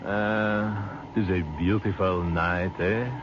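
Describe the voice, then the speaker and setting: An actor playing a courteous, sentimental French army captain with a French accent, in old-time radio drama style, speaking formally and wistfully. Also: male voice, low voice, fairly even intonation, slow pace. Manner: formal, gently philosophical phrasing. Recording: noisy, muffled old radio recording.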